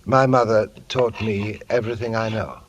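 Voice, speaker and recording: An actor playing a timid, lovesick, honest shop clerk in a 1940s radio drama, hesitant, stammering and polite. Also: male voice, lowish voice, steady tone, moderate pace, close to an everyday voice. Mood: earnest, hesitant. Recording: noisy old radio recording.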